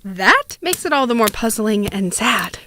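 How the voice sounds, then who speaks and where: A woman's voice: on the high side, sing-song, a little slow, sharp tone, slight background noise, heavily acted. A woman playing a proud, persuasive mother urging her grown son on, in an audio drama. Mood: disbelieving, persuasive.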